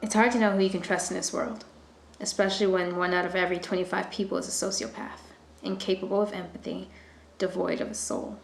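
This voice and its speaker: A woman's voice: mid-range voice, little pitch movement, fast talker, vocal fry, a little hiss or hum, close to an everyday voice. An actress playing a strained but kind woman in an audio thriller, guarded and plain-spoken. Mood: wary, bitter. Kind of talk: audio drama acting. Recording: roomy echo with music underneath.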